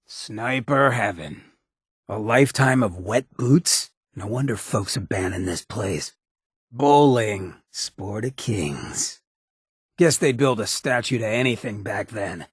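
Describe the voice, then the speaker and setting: An actor playing a swaggering, irreverent outlaw drifter in a video game, with a raspy, gravelly voice and a slangy, sardonic drawl. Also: male voice, mid-range voice, no room sound, studio quality, exaggerated voice. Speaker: video game companion character. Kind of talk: scripted game dialogue, wry banter.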